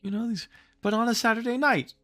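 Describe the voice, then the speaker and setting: A man's voice: extremely high pitch, steady tone, fairly quick, pushed and bright, no room sound, clean studio sound, voice pushed up. A man voicing a prim, fussy young motherly girl in a storybook, speaking in a tart, simpering way. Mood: scandalized.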